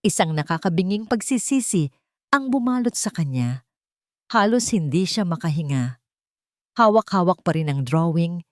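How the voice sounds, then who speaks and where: A woman delivers dramatic scripted narration as a storyteller. Her voice sits a little low, and the recording is dry and close. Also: a little mellow, dry recording.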